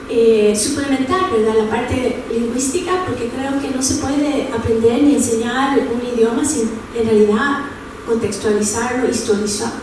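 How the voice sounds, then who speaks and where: A woman's voice: fairly high pitch, recorded from a distance. A woman from El Salvador, raised in San Francisco, leading a workshop in a conversational way, with many fillers and tag questions. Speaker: workshop presenter. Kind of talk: informal academic workshop talk. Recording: echoey room picked up from afar with noise.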